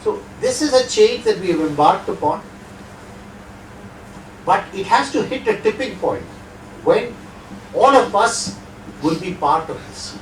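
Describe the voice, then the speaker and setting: A man's voice: high voice, expressive, unhurried, long pauses, a little hiss or hum. Indian man lecturing in an echoey hall. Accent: Indian English. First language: not Hindi. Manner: conversational, rhetorical asides. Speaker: guest lecturer. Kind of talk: lecture with audience Q&A. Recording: echoey hall, distant mic.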